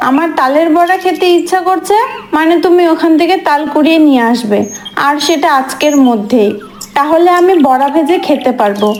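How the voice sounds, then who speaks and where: A woman's voice: sits high, slight background noise. A woman voicing a bossy village wife who insists, scolds and wheedles to get her way.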